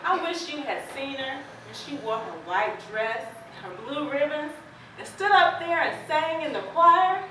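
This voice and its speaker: Female, stage drama: actress as plainspoken farm wife, guilt-ridden and protective, bright forceful voice, very echoey hall. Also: high voice, expressive, measured pace, heavily acted. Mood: wistful regret. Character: regretful, protective farmer's wife, plainspoken neighbour. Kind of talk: amateur stage play acting. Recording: echoey stage, distant mic, faint noise.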